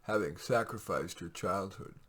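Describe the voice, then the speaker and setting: Male story reader: very low, raspy, measured, expressive, informal author-reading feel. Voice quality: raspy, gravelly. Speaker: writer reading his own short stories. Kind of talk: storytelling read aloud with casual asides.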